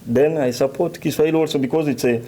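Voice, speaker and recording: A man's voice: a little high, fairly even intonation, fast, dark tone, breathy edge. A man with a Burundian accent, a native Kirundi speaker, talking hesitantly as a panelist. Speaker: discussion panelist.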